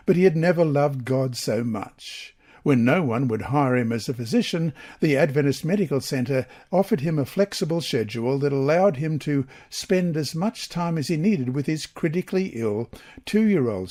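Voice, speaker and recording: A man reading a mission story aloud as scripted narration, in a slow, measured way. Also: medium pitch, a little mellow.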